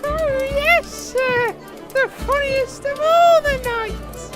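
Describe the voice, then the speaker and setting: A woman's voice: extremely high pitch, extremely slow, over music, heavily acted. A woman playing a meek, loyal, hesitant boy squire in a comedic fantasy audio drama. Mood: dutiful, hesitant. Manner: polite, hesitant, deferential.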